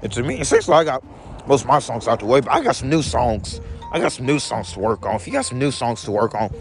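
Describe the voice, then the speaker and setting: Gravelly young man, rambling and repeating himself. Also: medium pitch, very animated, fast talker, soft background music. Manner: repeats each phrase back in second person. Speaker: vlogger. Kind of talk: rambling daily vlog monologue.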